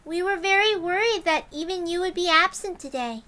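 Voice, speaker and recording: Excitable schoolgirl, played by a woman, story reading: very high, bright, loud, eager and caring. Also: moderate pace. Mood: relieved, excited. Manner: high, loud, exclaiming delivery. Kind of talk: dramatic reading of a visual novel.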